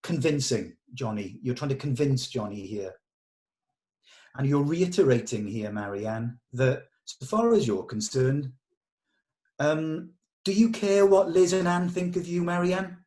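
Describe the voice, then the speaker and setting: A man speaks as the director of a script table read. He gives notes diplomatically, explaining his reasoning in a measured way. His delivery is that of working conversation with actors and a writer. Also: fairly high pitch, a little mellow.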